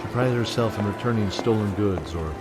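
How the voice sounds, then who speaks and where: A man giving scripted narration for a fantasy radio drama in a steady, descriptive storytelling manner. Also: fairly low pitch, a little fast. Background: loud music.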